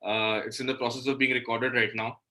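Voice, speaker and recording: Male interview guest, Indian accent, bright tone, mid-pitched, conversational and informal. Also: little pitch movement, fairly quick. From Delhi, India. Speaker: guest, indie musician. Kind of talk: casual interview talk.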